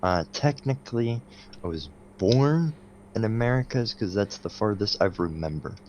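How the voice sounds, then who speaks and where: A young man co-hosting a podcast in casual chat, laid-back and quick to laugh. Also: fairly low pitch, animated, darkish tone, a little hiss or hum, poor audio. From Wales. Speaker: podcast co-host.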